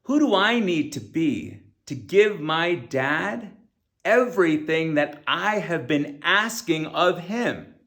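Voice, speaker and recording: A man with a fairly high voice and a noticeable creak narrates a personal memoir. He reads his own written words earnestly, phrasing them deliberately and leaning on key words. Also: lively intonation, a bit bright. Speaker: author reading own audiobook.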